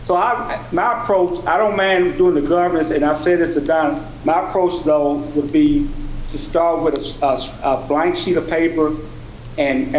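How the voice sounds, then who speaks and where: Male board member, higher-pitched, halting and self-correcting, unscripted meeting remarks in an echoey room. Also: a little mellow, a little hiss or hum. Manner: halting, repeats and rephrases.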